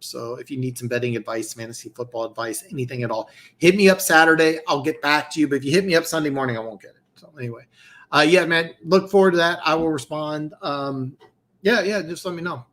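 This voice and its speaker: A man's voice: on the high side, fairly bright tone. A man hosting a live football picks stream in a casual, rambling way, losing his voice. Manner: rambling, many restarts and fillers. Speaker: host of a football picks show.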